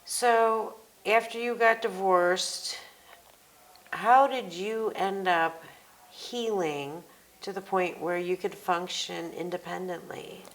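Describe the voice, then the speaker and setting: An American woman hosting a personal interview, summarizing and prompting her guest in a measured, conversational way. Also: mid-range voice, fairly even intonation, rough recording. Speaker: interview host.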